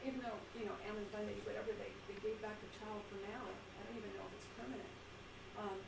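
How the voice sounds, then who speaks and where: A woman with a quiet voice leading a group discussion at a meeting, talking in a halting way with many fillers and restarts. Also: mid-range voice, flat delivery, vocal fry. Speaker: meeting presenter. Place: meeting room with a small group. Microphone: shared room mic for a video stream. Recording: echoey room, background noise.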